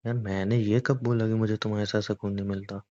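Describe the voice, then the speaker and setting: Guy playing young boyfriend arguing on a call, stubborn n coaxing, soft mellow tone, dull lofi audio. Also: on the low side, flat delivery, fast, lightly acted. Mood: defensive. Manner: argumentative, coaxing, exasperated. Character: stubborn, teasing young boyfriend defending his boys' trip. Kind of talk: romantic phone-call roleplay, casual Hindi chat. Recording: dull lo-fi phone call recording.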